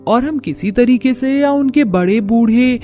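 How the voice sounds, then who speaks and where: A woman voicing an eager, competitive young daughter-in-law in animated story dialogue. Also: fairly high pitch, unhurried, soft background music, pitched above the performer's own voice, lightly acted. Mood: earnest.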